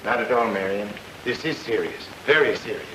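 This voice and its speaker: A man's voice: fairly low pitch, animated, a little fast, noisy background, close to an everyday voice. Man acting worried rancher, echoey old radio. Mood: grave. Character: grave, worried rich Nevada rancher, missing son's father.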